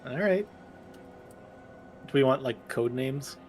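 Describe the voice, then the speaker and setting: A man's voice: medium pitch, expressive, slow pace, darkish tone, soft background music. A man taking part in a tabletop roleplaying game, talking casually as a player.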